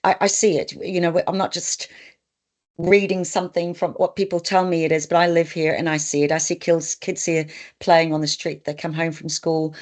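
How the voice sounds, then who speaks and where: A woman with a New Zealand accent hosting a webinar as a migration consultant, friendly and a little hesitant, with fillers. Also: low voice. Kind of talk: webinar presentation.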